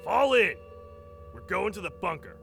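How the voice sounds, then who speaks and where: A man playing a gruff, curt military officer giving orders with authority in a sci-fi war audio drama. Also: high voice, very animated, unhurried, a bit bright, faint music behind, heavily acted. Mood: stern.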